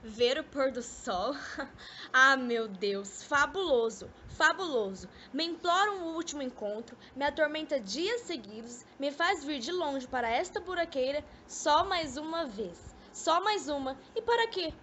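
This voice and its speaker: A woman's voice: sits high, animated, a little slow, pushed and bright, full character voice. A woman with a Brazilian accent acting an elegant, scornful young lady in an amateur radio drama, mocking and exasperated. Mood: mocking, amused. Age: young adult. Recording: noisy amateur recording.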